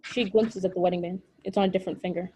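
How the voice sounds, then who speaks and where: A woman reading scripted stage directions at a table read in an even, matter-of-fact way. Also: lowish voice, racing, slight background noise.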